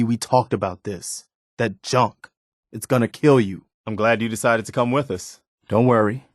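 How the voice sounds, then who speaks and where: A dutiful militia leader in a post-apocalyptic game, acted by a man, speaks in a fairly low male voice. He is earnest and measured, sincere with his companion. The sound is very dry, with no room around it. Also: no echo, natural voice. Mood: reassuring, earnest. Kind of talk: video game companion dialogue.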